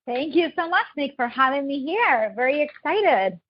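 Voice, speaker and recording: A Colombian-accented woman in her thirties, whose first language is Spanish, tells her story in an animated, chatty way. Her voice sits a little high. The audio is dull and lo-fi. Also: normal speed. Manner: lively, laughs easily, storytelling. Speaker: guest. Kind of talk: casual interview chat. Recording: muffled lo-fi audio.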